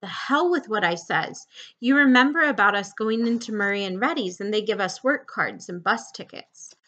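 A woman's voice: medium pitch, animated, normal speed, pushed and bright, highs cut off. A woman voicing an irritable, bossy little drifter man from a novel, with rustic, scolding speech. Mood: exasperated. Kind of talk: audiobook-style character reading of a novel.

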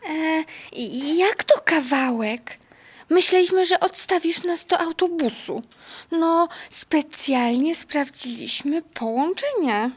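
A woman's voice: high voice, expressive, slow. A woman voicing a tiny, fretful female sprite, hesitant and questioning, in a children's audio play. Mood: worried.

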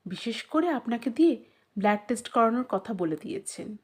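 A woman's voice: fairly high pitch, expressive, brisk, a little mellow, husky. A woman narrator voicing an anxious, elegant mother who pleads urgently in an audio story. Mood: anxious pleading. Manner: urgent, imploring.

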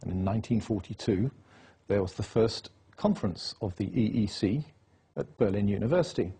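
A man speaks as an interview guest with a deep-set, mellow, strongly husky voice. He talks in a lecturing, insistent way, stacking up dates and facts one after another. He rarely lets a point go. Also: animated, fairly quick. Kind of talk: political interview.

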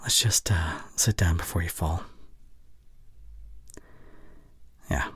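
A young man playing an anxious, caring boyfriend in an intimate ASMR roleplay, speaking softly and hesitantly close to the mic. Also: deep voice, moderate pace, full character voice. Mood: soothing, tender. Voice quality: soft, breathy. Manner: gentle, hesitant, murmuring reassurance. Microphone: close microphone.

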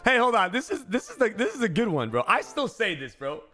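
A young man reacting casually on a live stream, excitable and slangy, swearing freely, close to the mic. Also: high voice, fairly quick, faint music behind. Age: young adult. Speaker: streamer reacting to football clips. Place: small indoor streaming room. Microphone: close microphone on boom arm, with headset.

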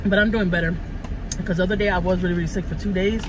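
A woman in her thirties with a Texas accent talks fast and rambles to her phone camera while driving, repeating herself. Her throat is a little sore. Loud road noise hums around the car. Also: fairly low pitch, steady tone. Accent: Texan. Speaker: vlogger. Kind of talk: casual chat to camera. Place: inside a car. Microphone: phone held at arm's length.

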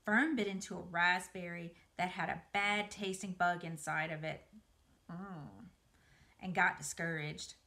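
A middle-aged woman reading a children's story aloud indoors, in an expressive, dramatized way. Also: lowish voice, measured pace. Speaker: museum educator reading aloud. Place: small room by a window, indoors.

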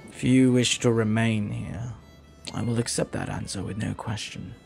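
A man playing a flirty, lovelorn pirate captain in a romantic audio roleplay, speaking softly and earnestly with hesitant, lingering pauses. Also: fairly low pitch, steady tone, gravelly, faint music behind. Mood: earnest, longing.

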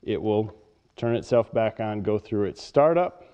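A man's voice: fairly low pitch, expressive, a little slow, mellow, clear, resonant tone. A man giving a plain, matter-of-fact hands-on product demonstration at a workbench in a workshop. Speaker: product reviewer. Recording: dull sound with long reverb.

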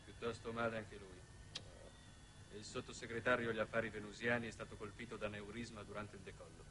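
An actor playing a sober, matter-of-fact official aide in a 1960s Italian television drama, with a clipped, formal manner. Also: male voice, fairly low pitch, steady tone, fast, crowd noise, close to an everyday voice, poor audio. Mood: grave.

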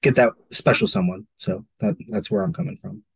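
A man's voice: fairly low pitch, normal speed, creaky, breathy edge. A man in his late thirties chatting casually as a dating show guest on a video call. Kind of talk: casual chat. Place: room at home, video call.

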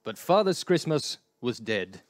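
Slow wry guy narrating a radio play, dry close headset sound. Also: mid-range voice, sing-song, no echo. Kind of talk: scripted narration.

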